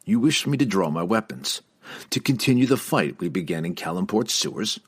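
A man voicing a principled, defiant dark elf warrior in a dry, formal manner for a fantasy audiobook. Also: sits low, sing-song, a little fast, slightly rough, dry recording, full character voice. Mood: grave defiance.

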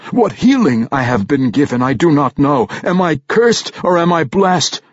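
A proud, tormented barbarian warrior bellows in a raised, strained voice, as a male narrator puts him on. His tone leaps high and wide with every outburst, a little husky. The read is dry and slightly muffled. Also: big pitch swings, normal speed, no echo. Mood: ranting. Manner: loud, declaiming, anguished. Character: huge young barbarian man, proud and tormented.

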